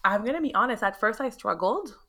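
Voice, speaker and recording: Bright-voiced woman with a Quebec French accent. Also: fairly high pitch, brisk. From Montreal, Canada. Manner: animated storyteller, laughs often, acts out quotes. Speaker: guest, realtor. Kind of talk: casual interview chat.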